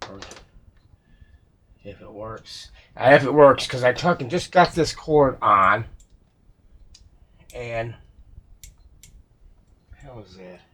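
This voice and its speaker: A man's voice: medium pitch, animated, slow pace, long pauses, a bit bright. A man talking casually through a radio repair at his workbench, rambling and thinking aloud with fillers and self-corrections. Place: home workshop.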